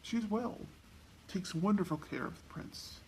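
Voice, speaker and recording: A kindly but burdened storybook king, acted by a woman, speaks with measured weight. The voice sits in a middle register, with faint noise behind it. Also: medium pitch, darkish tone, natural voice, poor audio. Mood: concerned. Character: kindly, troubled king, a father. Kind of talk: storybook character dialogue.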